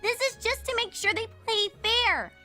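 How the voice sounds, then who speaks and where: An eager little filly pony, very high and bright in voice. She exclaims with bubbly wonder in a storybook audio drama, with soft music underneath. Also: moderate pace, heavily acted. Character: excited, eager young filly pony, female child. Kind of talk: dramatised fan fiction audio. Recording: music behind the voice.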